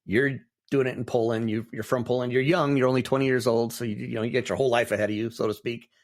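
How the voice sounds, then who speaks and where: A man's voice: medium pitch, steady tone, fast. Enthusiastic male podcast host. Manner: enthusiastic, rambling, warmly encouraging. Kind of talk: casual interview.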